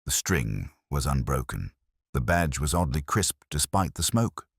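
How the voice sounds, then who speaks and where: A man with an extremely low voice reads a scripted mystery in a measured, literary way. His delivery is dryly understated yet lively in its rise and fall, and the sound is close and dry. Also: breathy edge, no room sound. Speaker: narrator reading a mystery story. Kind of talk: scripted narration of a genteel comic mystery.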